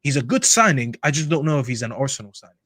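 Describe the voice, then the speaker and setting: A man's voice: mid-range voice, big pitch swings, quick pace, fairly bright tone, no room sound, clean studio sound. A young man from Egypt who speaks with an American accent, debating football casually and often repeating words as he thinks. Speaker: football podcast panelist.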